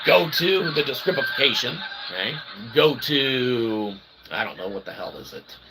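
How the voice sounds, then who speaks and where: A man hosting his channel in a rambling promotional monologue, wordy and pseudo-technical, animated, often tagging his sentences with a check for agreement. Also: mid-range voice, a little slow, heavy background noise. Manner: wordy pseudo-technical talk with repeated tag questions. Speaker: channel host.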